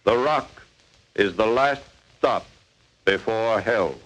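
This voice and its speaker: An actor playing a grave, dramatic narrator in a 1940s radio crime drama, on an old recording. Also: male voice, lowish voice, takes it slowly, a bit bright, poor audio. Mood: grave, ominous.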